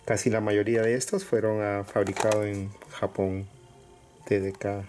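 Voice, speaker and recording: A man casually presenting items to viewers in short, halting phrases with pauses. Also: lowish voice, level tone, music in the background. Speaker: collector showing cassettes for sale. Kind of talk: casual product showcase. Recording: clear background noise with clicks.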